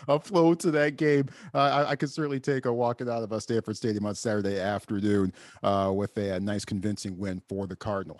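A man's voice: a little high, dry recording, clean studio sound. A man hosting a sports talk podcast, chatting conversationally and repeating words for emphasis. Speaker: podcast host.

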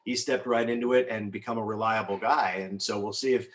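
A man's voice: on the low side, fairly even intonation, a little fast, fairly bright tone, breathy edge. An American man who works as a radio sports announcer, giving conversational analysis in an emphatic, discursive way, thinking aloud as he goes.

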